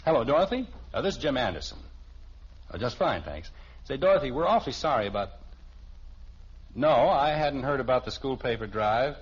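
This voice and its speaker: A man's voice: medium pitch, sing-song, a little fast, pauses often, breathy edge. An actor playing an exasperated middle-aged suburban father with an American accent, flustered and wry, in 1950s radio sitcom style. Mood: flustered, placating. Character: exasperated middle-class suburban father, easily put upon. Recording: dull, hissy old radio recording.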